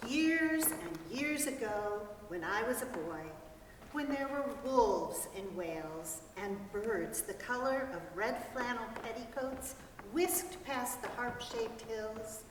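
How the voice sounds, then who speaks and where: Woman, measured storyteller, high bright voice, reverberant hall with a distant mic. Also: lively intonation, a little hiss or hum. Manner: slow, measured, deliberate reading. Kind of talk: dramatic reading of a story.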